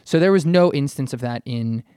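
A man's voice: medium pitch, fairly quick, mellow, clean tone, dry recording, studio quality. A man on a podcast explaining things in a casual, conversational way, often qualifying what he says. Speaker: writer on a podcast.

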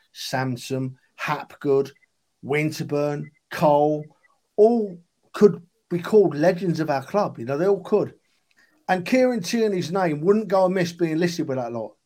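Higher-voiced man in his sixties. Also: a little mellow. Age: 60s. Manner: earnest, emphatic, matey. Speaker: football fan podcast panelist. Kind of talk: casual chat.

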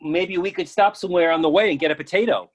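A man's voice: sits high, fast. A man reading the part of an oddly fixated, stubborn friend who argues silly logic earnestly in a comic play reading. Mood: earnest insistence.

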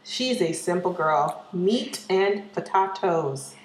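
A woman with a slightly low voice trades teasing, wry jokes in relaxed banter. The room around her rings with a noticeable echo. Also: takes it slowly, a little hiss or hum. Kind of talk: casual banter.

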